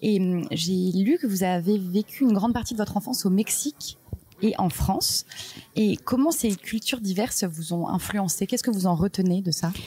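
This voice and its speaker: A woman interviewing a guest in a hotel library for a magazine. Her questions come with soft hesitations and restarts. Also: mid-range voice, moderate pace, slightly rough.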